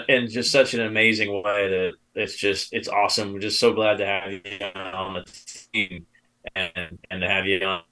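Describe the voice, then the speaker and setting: A man's voice: low-pitched, pushed and bright, rough recording. A man co-hosting a podcast in casual chat, effusive and informal. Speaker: podcast host.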